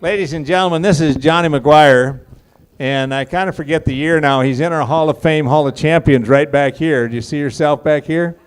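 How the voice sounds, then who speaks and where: Smooth-voiced folksy male emcee. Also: on the high side, normal speed. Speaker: auction school host. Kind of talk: introducing guests on stage. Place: auction hall.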